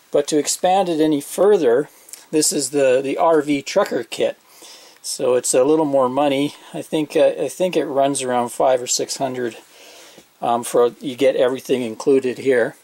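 A man's voice: medium pitch, gravelly, slight background noise. A middle-aged man talking casually while showing and installing gear in and on his RV, explaining each step with plenty of fillers and restarts. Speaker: RV owner reviewing gear. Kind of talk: casual unboxing and install walkthrough. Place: inside an RV, later up on its roof outdoors.

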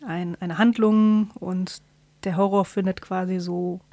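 A woman's voice: on the low side, fairly even intonation, measured pace, warm tone, no room sound. A woman co-hosting a podcast, chatting casually and explaining things while searching for her words. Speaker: podcast co-host.

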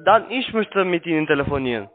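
Male cold caller, non-native speaker, higher-pitched, insistent and halting, narrow telephone sound. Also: expressive, soft background music. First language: not German. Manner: pushy, broken grammar, halting. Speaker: cold-calling telemarketer. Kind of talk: phone sales call. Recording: narrow tinny phone line.

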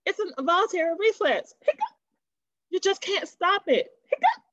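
A woman voicing an earnest, excitable young schoolboy in a storybook, pleading and flustered, on dull webcam audio. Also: extremely high pitch, moderate pace, no echo, voice pushed up, heavily acted. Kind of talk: children's storybook character voice.

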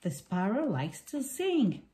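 A woman reading a picture book aloud for small children in simple, deliberate sentences. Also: medium pitch, huge pitch swings, warm tone, slight background noise.

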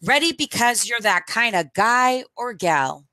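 A middle-aged woman reading a picture book aloud for children at storytime, phrase by deliberate phrase, into a headset microphone. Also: a little high, expressive, pushed and bright, dry recording, clean studio sound.

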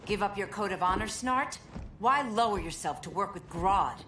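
An actress playing a proud, resolute warrior heroine in a video game, stern, formal and commanding. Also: female voice, mid-range voice, animated, normal speed, background noise, close to an everyday voice. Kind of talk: video game cutscene acting. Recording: music behind the voice.

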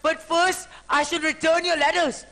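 A woman's voice: very high-pitched, little pitch movement, moderate pace, pushed and bright, low-quality recording. A woman playing a jilted young woman who declaims her grief in rhymed verse, in a stage musical. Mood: tragic lament. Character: jilted young woman Beatrice, wildly theatrical. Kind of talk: musical theatre acting.

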